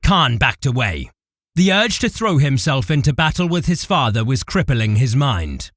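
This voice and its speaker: A man's voice: mid-range voice, sing-song, moderate pace, fairly bright tone, dry recording, studio quality. A man giving intense, theatrical scripted narration for an audio drama.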